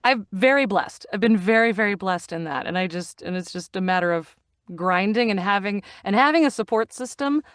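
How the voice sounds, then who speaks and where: This is a middle-aged woman talking as a guest, winding through stories with plenty of fillers and restarts. Her voice is smooth and resonant. The recording is dry, with no room sound. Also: mid-range voice, fairly quick, no echo. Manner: chatty, animated storyteller with frequent fillers and laughs. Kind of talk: casual interview chat.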